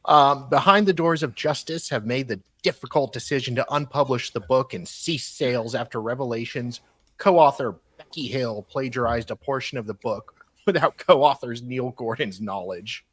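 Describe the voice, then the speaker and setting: A man co-hosting a live show, reading documents aloud in a halting way with casual, joking asides. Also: medium pitch, fairly bright tone, no room sound. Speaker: co-host.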